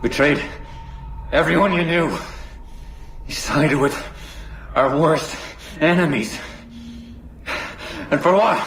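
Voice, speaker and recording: A man's voice: a little high, slow pace, pauses often, slight background noise. An actor playing a bitter, accusing assassin loyal to his brotherhood, in a dramatic video game scene. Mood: pained, bitter. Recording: loud orchestral score and ambience behind.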